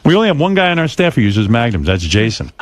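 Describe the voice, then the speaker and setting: A man's voice: mid-range voice, big pitch swings, quick pace, slight background noise. A man hosting a radio interview, asking blunt, teasing, provocative questions in a casual way. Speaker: radio host.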